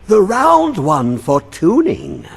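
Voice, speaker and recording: A man voicing a mad, obsessed old male scholar who talks in riddles and swings between high and low. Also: wildly swooping pitch, slow pace, creaky, husky, heavily acted. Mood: cryptic. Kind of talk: video game voice acting. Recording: game audio with faint music.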